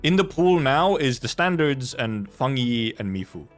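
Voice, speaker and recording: A man's voice: mid-range voice, very animated, a little slow, soft background music. A man chatting casually on a game livestream, rambling and repeating himself as he talks. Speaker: game streamer.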